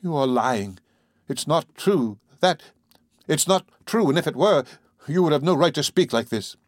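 A man's voice: fairly high pitch, lively intonation, normal speed, no echo, clean studio sound, voice pushed up. A man voicing a defiant young wife. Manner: defiant, stammering under pressure. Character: indignant young woman, a doctor's wife.

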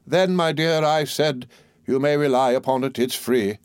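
A man's voice: medium pitch, moderate pace, clean tone, no echo, clean studio sound, voice pushed up, natural voice. A man reading aloud, voicing a fussy, garrulous older mother who is pleased with herself. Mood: self-satisfied. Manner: gushing, emphatic, self-important.

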